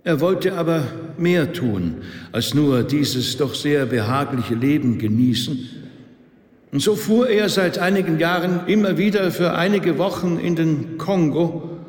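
An actor playing a grave, tender rabbi delivering a measured funeral eulogy in a radio play. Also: male voice, on the high side, expressive, darkish tone. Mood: solemn, mournful. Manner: measured, deliberate, solemn. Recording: echoey hall with long reverb.

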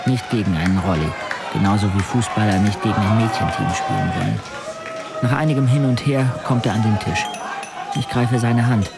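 A man's voice: fairly low pitch, big pitch swings, normal speed, creaky, a loud crowd. An actor playing a determined young man who tells his own story matter-of-factly in a radio drama. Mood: reflective. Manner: matter-of-fact, terse present-tense telling. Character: young wheelchair-using man, determined arm wrestler telling his story. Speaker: narrator and main character. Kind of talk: scripted first-person radio drama narration. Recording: loud music behind. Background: music.

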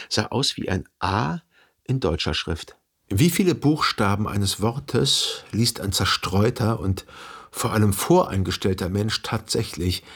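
A man playing a reflective son who narrates his memories in a radio drama, with a husky voice and measured, contemplative delivery. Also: on the low side, full character voice. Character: reflective literary narrator, a grown son remembering.

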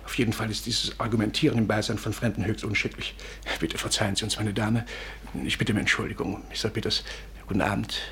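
A pompous, deluded old gentleman. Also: fairly low pitch, steady tone, fast, vocal fry. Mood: indignant. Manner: grandiose, formal, slightly scatterbrained. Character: pompous, delusional elderly gentleman with grand secret schemes. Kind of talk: 1950s German radio drama acting. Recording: noisy 1950s radio recording.

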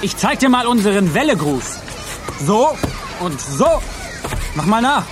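A man's voice: sits high, sing-song, unhurried, music in the background, a crowd in the background. An actor playing an officious, zealous teenage boy in a German radio play, with slogan-like phrasing. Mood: pushy, cocky. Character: zealous teenage boy, self-appointed bodyguard turning bullying recruiter.

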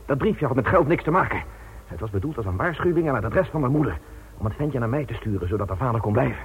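A man acting a wealthy, imperious older village patriarch in a radio drama, guarded, haughty and self-justifying, with a raspy voice. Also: medium pitch, lively intonation, fast talker. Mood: explaining, agitated. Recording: dull lo-fi radio drama recording.

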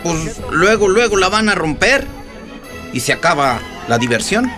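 A man's voice: high-pitched, very animated, measured pace, over music. A man acting a cheeky, reluctant household helper in a radio soap, with a folksy, colloquial Mexican manner of speaking. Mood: playful grumbling.

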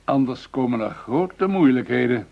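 A sober, cautioning police official in a radio drama. His husky voice is medium in pitch, measured and serious. The recording sounds dull and lo-fi. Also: lively intonation. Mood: sober, warning.

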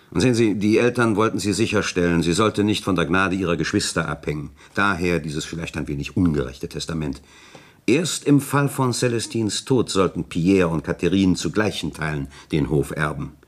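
Deep-voiced, courteous village notary. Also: male voice. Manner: polite, formal, lawyerly wording. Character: courteous, talkative village notary, discreet yet gossipy. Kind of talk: German radio play acting.

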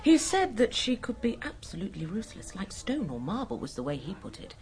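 A woman's voice: medium pitch, wildly swooping pitch, brisk, crowd noise. A woman playing a plain-spoken, proper nurse in a radio drama, with an English accent and a confiding, frank manner. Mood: thoughtful, candid. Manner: confiding, hesitant, then frank.

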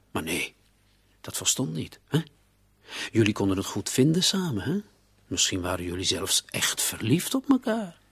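An actor playing a shrewd, taunting police commissioner interrogating a suspect in a radio play. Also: male voice, medium pitch, husky, no echo, clean studio sound. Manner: sardonic, taunting, with rhetorical tags.

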